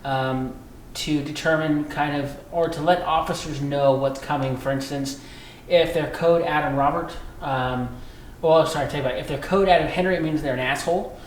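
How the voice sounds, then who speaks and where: An American man being interviewed as a police dispatcher, explaining in a conversational, halting way with repeated phrases. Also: a little high, steady tone. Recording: echoey room with faint background noise.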